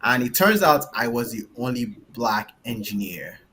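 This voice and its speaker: A man's voice: medium pitch, animated, moderate pace, bright, forceful tone, slight background noise. Nigerian-accented man telling a story casually. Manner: loose, filler-heavy, tags lines with "right?". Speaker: panelist, engineer and founder.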